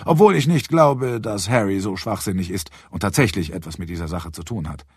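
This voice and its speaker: A man's voice: low-pitched, a little fast, a little mellow, slightly rough, no room sound, clean studio sound. A man voicing an eager, genteel, talkative clinic patient in an audiobook, emphatic, polite and self-assured. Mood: courteous, assured. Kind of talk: audiobook character dialogue.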